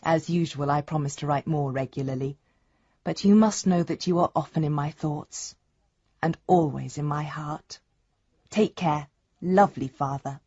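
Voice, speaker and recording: An actress playing an affectionate, hopeful daughter, husky-voiced and earnest, reading a letter to her father in a radio drama. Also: sits low, moderate pace, warm tone, no room sound. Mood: fond, hopeful. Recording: dry, slightly dull studio sound.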